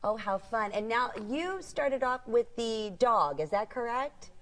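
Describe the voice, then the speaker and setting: A woman's voice: fairly high pitch, animated, unhurried, fairly bright tone. A woman presenting products as a co-host on live shopping television, talking in a chatty way.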